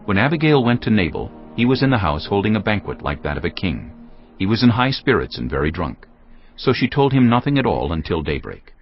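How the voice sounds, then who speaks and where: A man narrating scripture from a script in a measured, solemn storytelling manner. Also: on the low side, very animated, muffled recording, faint music behind.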